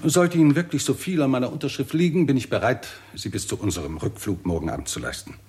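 An actor playing a weary, irritable and pessimistic scientist in a 1960s German radio drama, husky and articulate, with a sardonic, argumentative manner. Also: male voice, medium pitch, lively intonation, fast talker, a little mellow. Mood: weary, curt.

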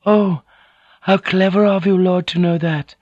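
A man voicing a soft, apologetic Japanese noblewoman who is cunning and deferential in an audiobook. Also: high voice, fairly even intonation, unhurried, no room sound, dull audio, pitched above the performer's own voice. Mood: meek flattery. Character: penitent, cunning Japanese noblewoman, flattering and meek. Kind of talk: audiobook character acting.

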